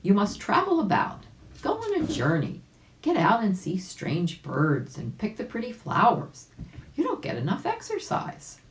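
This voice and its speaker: Pompous gentleman possum physician for a storybook, played by a woman, self-important, animated, echoing room. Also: mid-range voice, a little slow, pitched above the performer's own voice. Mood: firm, advising. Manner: pronouncing advice, with ha and hum. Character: pompous, wise-looking male possum doctor. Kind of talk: children's storybook character voice. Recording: roomy, echoing home recording.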